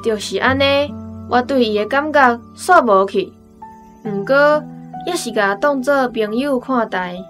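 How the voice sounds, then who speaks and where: A talkative, direct young woman in a radio drama, with a Taiwanese accent. Her voice is on the high side, and gentle guitar music plays faintly behind her. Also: measured pace. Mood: confiding. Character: frank, chatty young woman confiding about courtship. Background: plucked guitar music.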